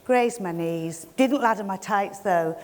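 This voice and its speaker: A woman's voice: mid-range voice, measured pace. Frank Yorkshire woman in a reverberant hall. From Yorkshire, England. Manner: frank and plain-spoken, with wry self-deprecating humour. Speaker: event host giving a welcome speech. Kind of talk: personal, candid speech to a live audience. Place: event hall. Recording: long hall reverb from a venue PA.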